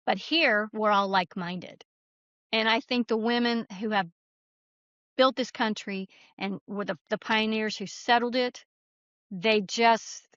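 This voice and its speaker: A woman's voice: medium pitch, a bit bright, no echo. A woman interview guest telling stories conversationally in an emphatic, folksy, plain-spoken way, on a slightly muffled recording. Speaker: guest author. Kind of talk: conversational storytelling.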